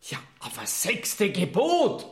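An actor playing an indignant heavenly accuser in a radio play, with a Bavarian accent. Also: male voice, sits high, wildly swooping pitch, slow pace, roomy sound, full character voice. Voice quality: indignant, scolding.

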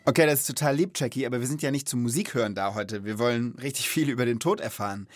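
A man's voice: mid-range voice, quick pace, no echo, studio quality. A man hosting a children's podcast in a casual, explanatory way, speaking thoughtfully and with empathy.

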